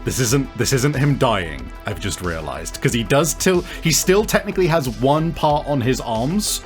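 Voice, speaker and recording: A man working as a game master, improvising tabletop game narration in a halting, piece-by-piece way. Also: mid-range voice, animated. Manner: halting, pieces scenes together as they go. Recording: music playing behind the voice. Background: music.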